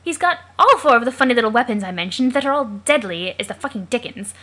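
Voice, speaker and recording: A woman's voice: fairly high pitch, lively intonation, quick pace, fairly bright tone. A woman voicing a plucky, chummy young male adventurer with a put-on British accent and theatrical, old-fashioned wording. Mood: chummy, earnest.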